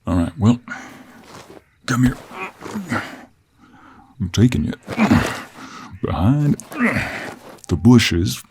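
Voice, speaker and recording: A man voicing a confident, sly cowboy monster hunter with a gravelly voice and an American Western accent in a fantasy audio roleplay. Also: on the low side, expressive, drawn-out words, pauses often, darkish tone, slight background noise, voice pushed up, heavily acted. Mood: firm, teasing.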